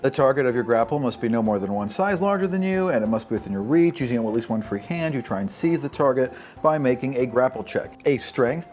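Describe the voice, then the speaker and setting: A man with a mid-pitched voice narrates a tabletop fantasy game. His delivery is descriptive storytelling, with music faintly underneath. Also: expressive, fast talker, hardly any pauses, darkish tone.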